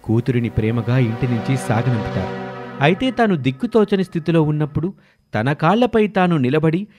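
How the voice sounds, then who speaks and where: A man's voice: a little high, expressive, brisk. A man reading scripted story narration as the narrator. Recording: music under the voice. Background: background music.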